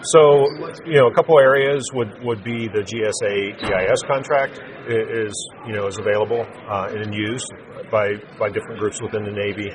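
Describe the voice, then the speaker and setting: A man giving measured, unscripted corporate explanations as an interview guest. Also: on the low side, steady tone, crowd noise, rough recording. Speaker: interview guest, telecom sales executive. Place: busy naval trade show event.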